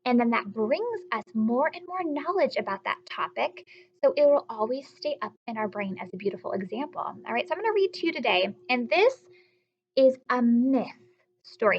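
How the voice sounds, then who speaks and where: A woman teacher reading aloud and explaining simply to young children, often putting questions to the listener with emphatic, drawn-out storytelling delivery. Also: high-pitched, a bit bright, dull audio.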